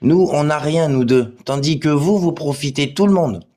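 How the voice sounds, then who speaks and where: A man's voice: on the high side, moderate pace, dark tone. A man, crude and abusive, arguing with commenters on a livestream and swearing freely. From Portugal. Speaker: live stream participant. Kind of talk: livestream chat replying to commenters.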